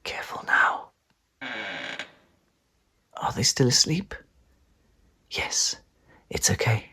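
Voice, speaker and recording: Man playing a gleeful, greedy little boy, wildly animated. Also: medium pitch, takes it slowly, slightly rough, in a whisper, full character voice. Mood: sneaky whisper. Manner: gleeful, conspiratorial, chanting sound words. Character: greedy, mischievous young boy sneaking cake.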